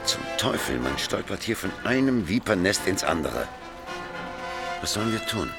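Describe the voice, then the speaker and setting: An actor playing a blunt, commanding medieval emperor on campaign in a radio drama. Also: male voice, low voice, big pitch swings, normal speed, gravelly, over music, heavily acted. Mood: grumbling. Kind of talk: literary radio drama acting.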